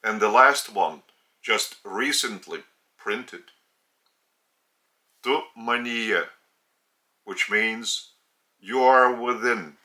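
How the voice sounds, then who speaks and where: Male spiritual teacher, Lithuanian accent. Very bright, forceful tone, slow delivery in short, deliberate phrases. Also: lowish voice. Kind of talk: religious teaching talk.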